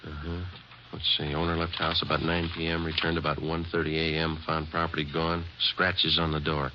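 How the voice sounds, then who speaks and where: An actor as a police detective sergeant who narrates, speaking in a terse, deadpan, matter-of-fact way. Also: male voice, very deep voice, normal speed. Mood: clipped. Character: clipped, unflappable male police detective. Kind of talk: 1950s radio crime drama. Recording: noisy, muffled old radio recording.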